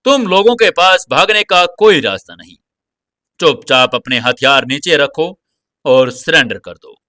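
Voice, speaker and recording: A man voicing a stern police officer calling out loud, deliberate commands in a dramatized audio story. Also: fairly high pitch, expressive, no room sound, studio quality. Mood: stern, commanding. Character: stern male police officer shouting through megaphone.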